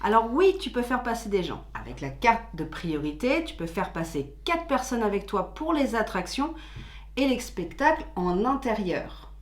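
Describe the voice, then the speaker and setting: A woman co-hosting a video, explaining details in easy, chatty talk. Her voice sits a little high. The sound carries a roomy echo. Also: very animated, normal speed. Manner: chatty, explains patiently with filler words. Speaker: co-host of a theme-park channel. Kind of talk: casual explainer chat. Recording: echoey room.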